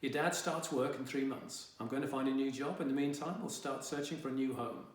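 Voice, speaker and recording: A man's voice: medium pitch, brisk, recorded from a distance, lightly acted. Anxious, persuasive mum read by a man, audiobook dialogue, earnest, very reverberant room. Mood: earnest, coaxing. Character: anxious, coaxing mother breaking news to her children. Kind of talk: audiobook character voice.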